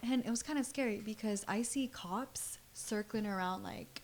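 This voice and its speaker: A woman's voice: medium pitch, moderate pace, slight background noise. A young adult woman chatting casually on a podcast, telling personal stories in an informal, slangy and emphatic way. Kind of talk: casual chat, personal storytelling.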